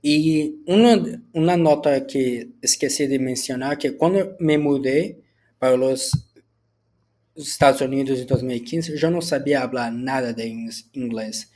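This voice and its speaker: A man from Brazil, a student whose first language is Portuguese, speaks Spanish with a Brazilian Portuguese accent as he answers set questions for a school assignment, often restarting words and filling pauses with "ah". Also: mid-range voice, a little mellow. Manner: halting, with frequent restarts and filler "ah".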